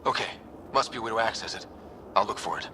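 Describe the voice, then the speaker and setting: A man's voice: on the low side, fast talker, pushed and bright, slight background noise. An actor playing a confident young male space explorer in a video game, casual and quippy. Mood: determined.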